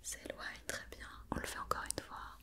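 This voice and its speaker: A woman whispering an ASMR roleplay as a doctor giving an examination, with soft, reassuring and encouraging step-by-step instructions. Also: normal speed. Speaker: ASMR performer playing an occupational doctor examining a robot.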